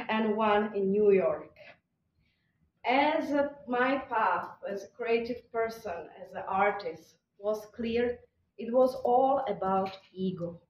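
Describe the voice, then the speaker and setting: Slow Czech woman speaking in an echoey hall. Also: a little high, slight background noise. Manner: slow, reflective, halting phrasing with pauses. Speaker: conference speaker. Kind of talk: spiritual talk at a conference. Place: hotel conference hall. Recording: distant, dull, echoey hall recording.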